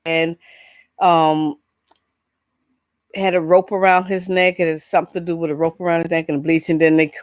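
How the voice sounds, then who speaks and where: A woman hosting a casual entertainment vlog, talking in a rambling, halting, informal way. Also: lowish voice, flat delivery, no echo, studio quality. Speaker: vlog host. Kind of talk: casual chat, entertainment gossip.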